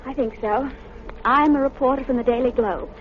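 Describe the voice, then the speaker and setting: Woman playing polite eager young lady, high voice, hesitant, well-spoken, vintage radio drama, muffled with faint noise. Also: brisk, natural voice. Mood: earnest, probing. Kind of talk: 1930s radio drama acting.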